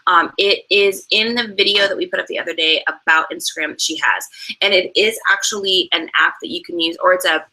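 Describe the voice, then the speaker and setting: A woman's voice: lowish voice, fairly quick, bright, forceful tone, low-quality recording. A young woman co-hosting an online sales training, chatting casually and explaining steps with lots of fillers. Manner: chatty, many fillers, laughs easily. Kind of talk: casual instructional talk.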